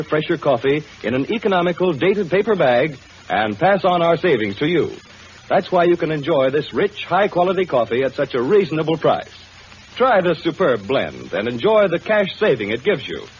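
Animated 1930s radio ad announcer, a man. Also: on the high side. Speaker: commercial announcer. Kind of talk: scripted 1930s radio advertisement. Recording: muffled, faintly hissy 1930s radio recording.

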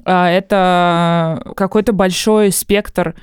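A woman's voice: lowish voice, fairly even intonation, slow, smooth, no room sound. Brief: woman in her twenties, playful podcast host, dry studio sound. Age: 20s. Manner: playful, laughs often. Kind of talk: casual comedic podcast chat.

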